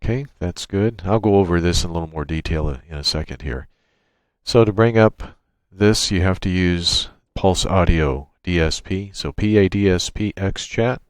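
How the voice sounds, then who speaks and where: A man narrating an unscripted software tutorial, explaining each step in a matter-of-fact way. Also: deep voice, normal speed, no room sound. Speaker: tutorial presenter. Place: indoors at a computer, screen-recording voice-over.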